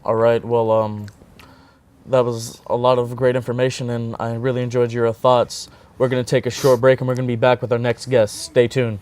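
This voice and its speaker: A man's voice: medium pitch, flat delivery, moderate pace, darkish tone. A young man hosting a college TV interview show in a small studio, upbeat with jokey catchphrases. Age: young adult. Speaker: host.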